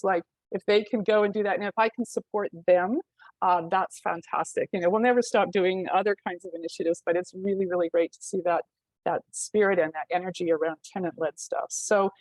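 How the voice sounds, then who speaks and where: A woman's voice: mid-range voice, a little mellow, no echo, rough recording. Casual woman on a dry video call. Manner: casual, lots of ums and likes. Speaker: housing community worker. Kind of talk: webinar presentation.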